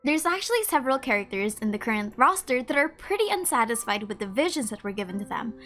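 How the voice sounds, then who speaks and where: A woman's voice: a little high, big pitch swings, quick pace, a bit bright, soft background music. A woman hosting a video with casual scripted narration. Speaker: video creator, host.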